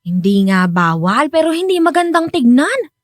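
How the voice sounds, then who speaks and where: An actress playing an older Filipino woman being courted, guarded, skeptical and quick to scold, in radio drama style. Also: high-pitched, sing-song, dry recording, studio quality. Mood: annoyed.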